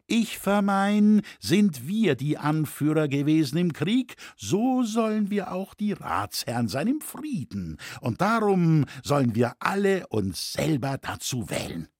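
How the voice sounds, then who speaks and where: A self-important tailor from a historical storybook, voiced by a man, pitched up above the reader's usual voice. He harangues shrewdly in rhetorical, pleading phrases, with a husky edge. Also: sing-song, unhurried, few pauses, a little mellow, no echo, clean studio sound, heavily acted. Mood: urgent, persuasive. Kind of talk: audiobook character acting.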